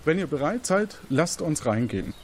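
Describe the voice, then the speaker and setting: A man's voice: a little high, sing-song, moderate pace, a little mellow, a little hiss or hum. A man hosting an audio quiz show as a training officer, presenting in a semi-scripted, role-playing style.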